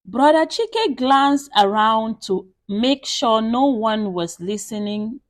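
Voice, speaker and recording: A woman telling a dramatic scripted story with expressive, deliberate phrasing. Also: a little high, no echo, clean studio sound. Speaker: storyteller and channel host.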